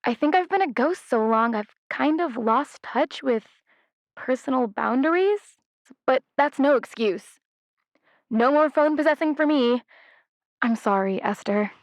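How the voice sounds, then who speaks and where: A woman's voice: high-pitched, expressive, no echo. A woman playing a flighty, apologetic, affectionate ghost in an audio drama, speaking hesitantly as a caller to an advice show over a lo-fi phone line. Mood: sheepish, apologetic. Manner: hesitant, halting.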